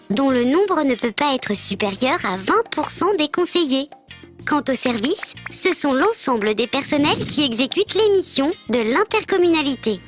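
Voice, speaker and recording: A woman voicing a friendly bird mascot who presents scripted civics explainers in a didactic, structured way. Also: sits high. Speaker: presenter of an educational programme. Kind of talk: scripted educational narration.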